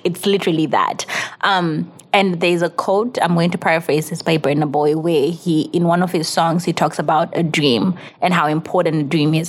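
A young woman from Zimbabwe speaks as a podcast guest in a fairly low voice. Her delivery is earnest and motivational, close to a sermon. She threads her points with casual "like" and "you know" fillers. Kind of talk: casual interview conversation.

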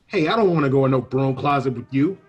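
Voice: medium pitch, fast, slightly rough, lo-fi sound, faint music behind, natural voice. An actor playing a wary, streetwise small-time male informant in a comic old-time radio play, slangy and tough-talking. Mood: grumbling, uneasy.

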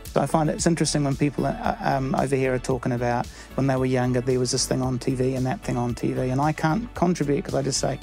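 A middle-aged man with a New Zealand accent talks through an interview in a halting way, full of fillers and restarts. His voice has a gravelly edge, and faint music plays behind him. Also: mid-range voice, fairly even intonation, a little mellow. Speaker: church member.

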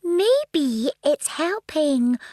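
A woman's voice: sits high, big pitch swings, extremely slow, warm tone, no room sound, clean studio sound, heavily acted. A woman playing an eager, impatient little girl adventurer in a children's drama. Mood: eager, pestering. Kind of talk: children's audio drama acting.

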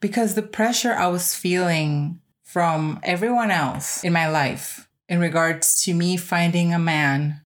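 Female podcast host, lower-register voice, reflective first-person delivery, emphatic with deliberate repetition. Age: 30s or older.

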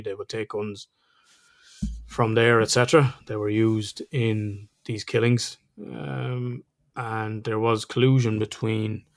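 A man with an Irish accent, co-hosting a podcast in a casual, chatty way, laughing often and swearing now and then. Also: medium pitch. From Ireland. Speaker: podcast co-host.

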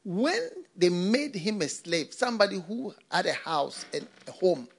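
A man's voice: sits high, big pitch swings, measured pace, vocal fry, breathy edge. An older man from Ghana, a pastor, preaching a sermon with repeated phrases and rhetorical questions.

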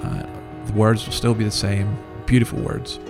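A man's voice: lowish voice, flat delivery, normal speed, music in the background. A man hosting a podcast with informal commentary, talking in a conversational, rambling way and correcting himself as he goes. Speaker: podcast host.

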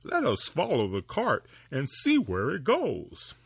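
A man voicing a wary second stranger who speaks in a slow, measured way. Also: a little high, huge pitch swings, gravelly, breathy edge, no echo, studio quality, exaggerated voice.

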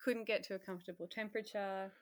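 A woman, a healthcare worker telling her story in a casual, animated interview and acting out her own earlier remarks. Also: mid-range voice, fast, no echo.

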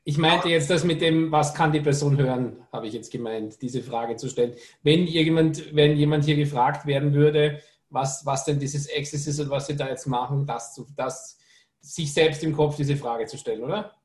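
A man's voice: a little high, steady tone, moderate pace, roomy sound. A man hosting a casual interview, asking and explaining carefully with many restarts. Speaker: podcast host.

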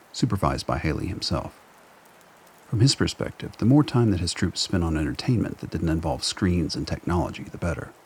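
A man's voice: rumbling low, vocal fry, a little hiss or hum. A man narrating a scripted radio drama as a storyteller.

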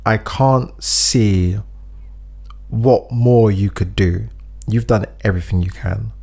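A man's voice: lowish voice, slow pace, creaky, darkish tone, slightly rough. A man co-hosting a casual chat, giving earnest advice and acting out example speeches, a bit phlegmy. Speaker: podcast co-host giving advice.

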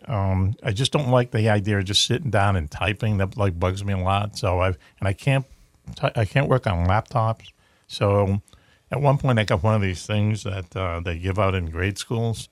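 Boston-accented man, low voice. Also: normal speed, no room sound, clean studio sound. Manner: rambling, chatty, many fillers and restarts. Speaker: radio show host. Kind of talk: casual talk show chat.

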